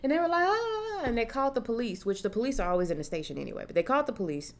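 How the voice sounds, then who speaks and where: A young woman vlogger telling a personal story in a casual, animated way, slipping into the voices of the people she quotes. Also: fairly high pitch, very fast. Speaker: horror vlogger.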